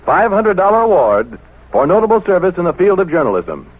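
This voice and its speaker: A man's voice: high voice, very animated, fairly quick, slightly rough. A man announcing on 1940s radio with a polished, emphatic salesman's delivery. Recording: tinny old radio broadcast.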